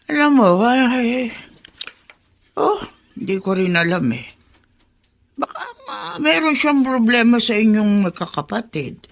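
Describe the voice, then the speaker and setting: A woman's voice: fairly high pitch, animated, unhurried, pauses often. An actress playing a sympathetic, chatty older Filipino woman in a radio drama. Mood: confiding. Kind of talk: Tagalog radio drama acting.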